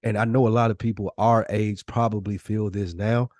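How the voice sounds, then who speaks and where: American male podcast co-host, lower register, dry sound, informal slang-heavy conversational storyteller who swears freely. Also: fairly even intonation, moderate pace, a little mellow, no echo. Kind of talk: casual chat with animated storytelling.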